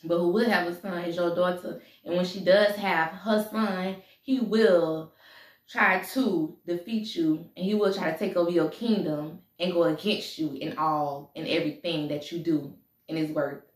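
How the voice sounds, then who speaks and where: An American woman voicing a grave witch doctor in a spoken story, measured and foreboding as she prophesies. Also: on the low side, steady tone, recorded from a distance, pitched below the performer's own voice, natural voice. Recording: echoey room.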